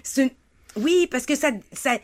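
A woman's voice: high-pitched, a little slow. A woman from southern France, with a mixed southern French and Québécois accent, arguing her point animatedly in a casual game panel. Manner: animated, talks over others. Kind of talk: casual chat.

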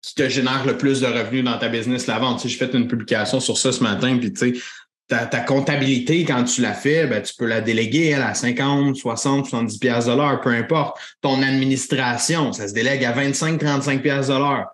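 A man with a Québécois accent co-hosting a business podcast, explaining points in a lively way with English words mixed in. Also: medium pitch, brisk, reverberant. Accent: Québécois French. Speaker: podcast co-host. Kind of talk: casual business chat.

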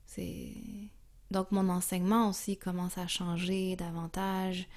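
Calm, mellow Quebecois woman, slow-paced. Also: lowish voice. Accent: Quebec French. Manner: calm, zen, searching for words. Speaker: interview guest, yoga and music teacher. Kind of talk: reflective, casual interview talk.

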